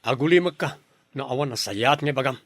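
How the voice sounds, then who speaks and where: A man's voice: mid-range voice, brisk, no room sound, close to an everyday voice. A man playing an earnest, frustrated young son in a radio drama, pleading for his sweetheart with a Filipino accent. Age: young adult. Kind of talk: Ilocano radio drama acting.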